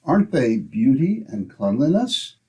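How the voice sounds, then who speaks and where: A man's voice: mid-range voice, big pitch swings, unhurried, a little mellow, a little hiss or hum. A man announcing in old-time radio style, with polished, salesmanlike phrasing.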